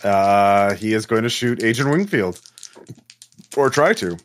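Male game master, lowish register, conversational and halting, correcting himself as he narrates a tabletop session. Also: animated. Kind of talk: running a tabletop role-playing game, conversational.

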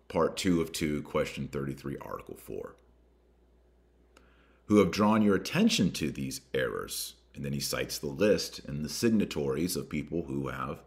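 A man with a low voice hosts a religious commentary podcast. He moves between reading aloud and commenting, leaning on key words with deliberate emphasis and using rhetorical questions. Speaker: podcast host.

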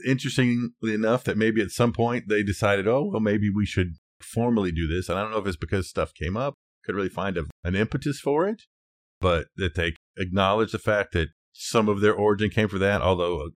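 Middle-aged guy podcast cohost, deep voice, no room sound, just casually telling facts. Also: fairly quick, dry recording, studio quality. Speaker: podcast co-host. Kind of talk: casual conversation, sharing researched facts.

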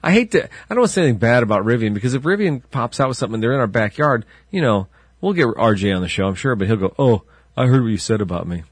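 A man's voice: mid-range voice, expressive, very fast, breathy edge, dry recording, clean studio sound. A man co-hosting a casual car podcast who rambles through his points with restarts and chuckles. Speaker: podcast co-host. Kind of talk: casual banter.

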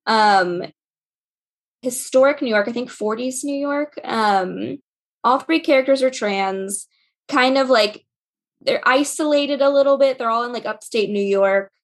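A woman's voice: fairly high pitch, unhurried. A woman giving book recommendations in a casual podcast chat, speaking with enthusiasm in loosely strung phrases.

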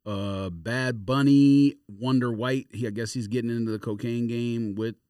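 A man's voice: medium pitch, fairly even intonation, moderate pace, warm tone, no room sound, clean studio sound. A man co-hosting a podcast, casually reading out a list with joking asides. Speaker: podcast co-host reading out sneaker releases. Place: dry studio.

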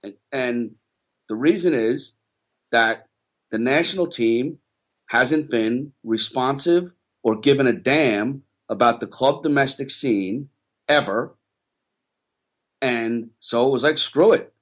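A man who once coached rugby, ranting about player development on a casual podcast. He gets fired up and his voice climbs higher as he argues. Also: slow pace. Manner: passionate, ranting, rising pitch when worked up.

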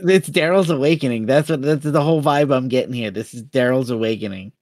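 A man co-hosting a podcast in casual chat, halting and repeating words as he starts his sentences. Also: mid-range voice, a little mellow, no room sound. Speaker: podcast co-host.

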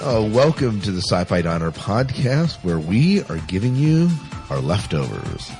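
A man hosting a podcast in a casual, chatty style, with a husky voice. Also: fairly low pitch, wildly swooping pitch, measured pace, darkish tone, faint music behind. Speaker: podcast host. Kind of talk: casual chat.

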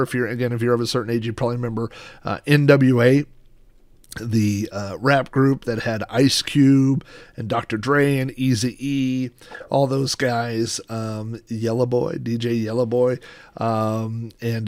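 A man's voice: mid-range voice, dry recording. A middle-aged American man hosting a casual show-and-tell, reminiscing in a rambling, anecdotal way. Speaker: host of a personal collection show.

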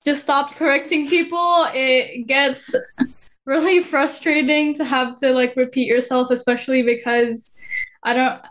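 A soft-spoken woman from the Philippines talks as a podcast guest in an easygoing, chatty way. Her voice sits a little high and never gets loud. She fills her sentences with small hesitations. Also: a little slow. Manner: relaxed, chatty, many fillers. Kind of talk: casual conversation.

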